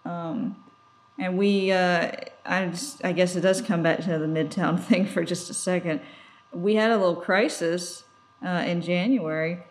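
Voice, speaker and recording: Woman w/ lowish voice just chatting on a podcast, informal, talky. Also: steady tone, a little slow. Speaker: podcast co-host. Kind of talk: casual conversational podcast chat.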